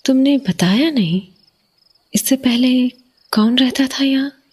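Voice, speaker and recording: A woman playing an uneasy, suspicious young wife in a horror audio drama, hesitant and hushed, lingering on words in a breathy voice. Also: high-pitched, takes it slowly, exaggerated voice. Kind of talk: Hindi horror audio drama, acted dialogue.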